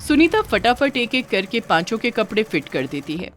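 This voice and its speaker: A woman narrating a scripted children's moral story with dramatic, expressive delivery. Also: a little high, normal speed, soft background music.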